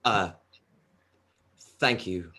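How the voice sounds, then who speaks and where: A man playing a nervous, awkward young bass player at an audition, reading hesitantly from a script. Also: very slow, pushed and bright, exaggerated voice. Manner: hesitant, tentative. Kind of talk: informal script table read.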